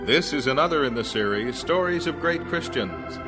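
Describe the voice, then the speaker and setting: A man's voice: mid-range voice, lively intonation, normal speed. A man with an American accent making a formal announcement in old radio style. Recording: vintage radio, loud organ behind. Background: loud organ music.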